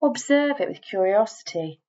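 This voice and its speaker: A woman reading a scripted guided relaxation in a measured, instructing manner, leaving deliberate pauses between phrases. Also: fairly high pitch, expressive, a little mellow, lo-fi sound. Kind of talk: scripted guided meditation voiceover.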